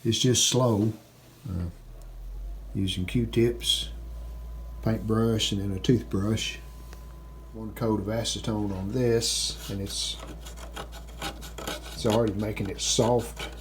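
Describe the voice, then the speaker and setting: A man giving casual, plainspoken, unhurried narration of hands-on workshop work, like a DIY video host. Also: lowish voice, steady tone, slight background noise. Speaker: host of a restoration channel.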